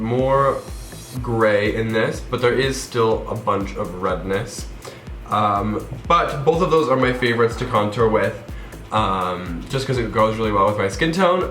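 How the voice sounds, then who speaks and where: A man's voice: medium pitch, a bit bright. Young man, stuffy nose, chatty and rambling, roomy echo, faint music behind. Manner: chatty, rambling, many fillers. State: stuffed up from a cold. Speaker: makeup vlogger. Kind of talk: casual tutorial talk.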